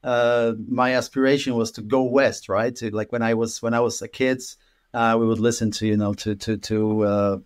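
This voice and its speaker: A man's voice: mid-range voice, little pitch movement, moderate pace, clean tone. A man in his thirties, from Poland, talking as a guest in an interview over a video call from a room at home. He rambles, with lots of fillers and repeated words. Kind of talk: casual interview conversation. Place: room at home with an orange wall.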